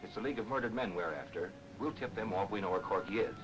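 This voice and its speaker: An actor playing a confident, wry American police detective, clipped and matter-of-fact, in 1940s movie serial style. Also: male voice, fairly low pitch, quick pace, lightly acted. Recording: old 1940s film soundtrack, music behind.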